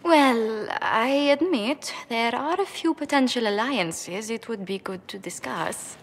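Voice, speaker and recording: Poised lady diplomat with lively intonation. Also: fairly high pitch, slow pace, creaky, big-room sound, a little hiss or hum, exaggerated voice. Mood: polite, guarded. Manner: formal, diplomatic, polite. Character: poised, courteous female court diplomat. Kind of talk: video game dialogue acting.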